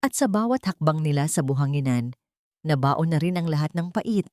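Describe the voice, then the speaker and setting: Mababang boses ng babaeng tagapagsalaysay. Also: female voice, low voice, lively intonation, normal speed, darkish tone, no room sound. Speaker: storyteller, narrator. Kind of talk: scripted dramatic audiobook narration.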